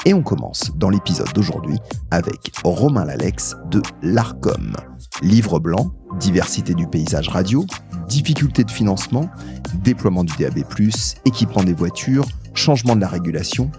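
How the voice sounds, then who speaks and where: A man presents a podcast in a very deep voice with animated, swinging intonation. He reads scripted introductions and news over soft background music. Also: moderate pace.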